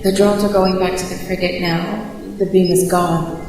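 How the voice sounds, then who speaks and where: A woman playing a stranded, proud but frightened news reporter in a sci-fi audio drama, speaking haltingly in broken phrases. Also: on the low side, flat delivery, lightly acted. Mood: horrified, anxious. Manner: halting, breathy delivery with broken, repeated phrases. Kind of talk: audio drama monologue, sci-fi radio play. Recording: very echoey, long reverb, distant, noisy ambience. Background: clear ambient noise.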